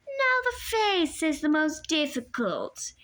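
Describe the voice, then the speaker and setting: A woman's voice: sits high, big pitch swings, takes it slowly, a bit bright, voice pushed up, heavily acted. A woman doing a purring old snake-haired monster. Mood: coaxing. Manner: soothing, coaxing purr.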